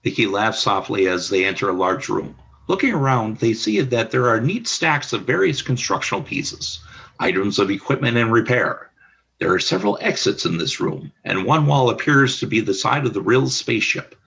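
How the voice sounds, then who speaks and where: A man narrating the stage action of an amateur radio play in an even reading. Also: fairly low pitch, moderate pace, vocal fry, husky, muffled recording.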